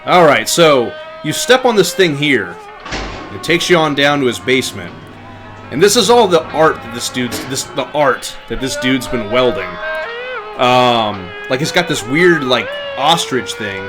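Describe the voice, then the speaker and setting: A man's voice: medium pitch, sing-song, unhurried. A man giving casual, chatty livestream commentary as he plays through a game, easygoing and given to swearing. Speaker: game streamer. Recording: faint game music behind. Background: game music.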